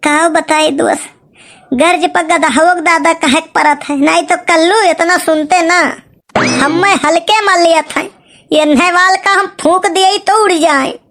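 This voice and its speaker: A woman plays a cartoon village matchmaker with a very high, sharp voice and an Awadhi accent. The figure is cheeky and stubborn, always coaxing and pressing in colloquial comic banter. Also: steady tone, measured pace, full character voice. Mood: persuading.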